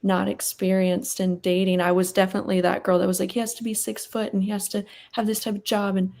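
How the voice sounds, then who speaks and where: A woman's voice: medium pitch, level tone, quick pace, gravelly, darkish tone. A woman in her twenties from Texas, a guest on a panel, talking casually and filling her sentences with "like" and "you know.". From Texas, United States. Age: 20s. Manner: chatty, lots of "like" and "you know".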